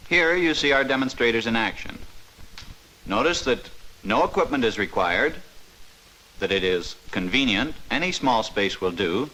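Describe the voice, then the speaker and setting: A man giving scripted, measured narration for an instructional training film from the 1950s, stressing key words as he explains. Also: medium pitch, bright, forceful tone, poor audio.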